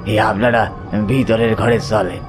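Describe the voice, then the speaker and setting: Man acting slow, low-voiced rustic village father. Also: steady tone, music in the background, close to an everyday voice. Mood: obliging. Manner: slow, rustic dialect phrasing. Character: rustic village father, humble, shadowy host Raghu. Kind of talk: Bengali audio horror story acting.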